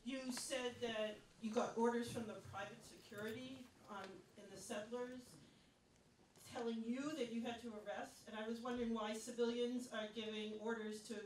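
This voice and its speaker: A woman's voice: fairly high pitch, level tone, moderate pace. A woman in the audience asking the panel a direct question. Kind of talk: question from the floor. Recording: echoey hall, far from mic.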